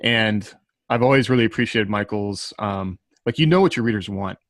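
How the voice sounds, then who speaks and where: A man with a fairly low voice speaks in a very dry recording. He chats casually, reflecting as he goes and feeling for his words. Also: normal speed, dry recording. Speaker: writer on a panel. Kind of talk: casual panel chat.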